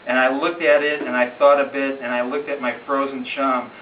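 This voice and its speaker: A man's voice: medium pitch, steady tone, fairly quick. A man giving a dramatic, rhythmic recitation of a narrative ballad as an amateur reader, telling it like a story with emphatic phrasing. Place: room in a home, at a gathering. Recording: roomy distant muffled home recording, faint noise.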